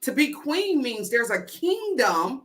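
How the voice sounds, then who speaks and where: A woman's voice: sing-song, takes it slowly, fairly bright tone. A woman giving an inspirational spoken-word talk, with a repetitive, rhythmic preaching cadence and call-outs to the crowd. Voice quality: deep voice. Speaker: motivational speaker.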